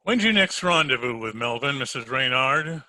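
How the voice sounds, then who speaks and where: A man playing a wisecracking, unhurried hardboiled detective in an old-time radio drama, wry and slangy. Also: medium pitch, animated, bright, forceful tone. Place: home, recorded over a video call.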